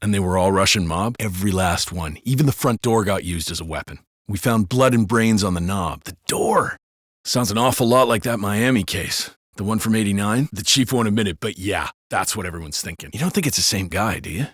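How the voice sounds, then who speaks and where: A man's voice: lowish voice, fairly quick, fairly bright tone, no echo, studio quality. A man voicing a gossiping, foul-mouthed American cop in a video game, talking in a colloquial, sardonic way. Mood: grave. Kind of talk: casual scripted banter for a video game.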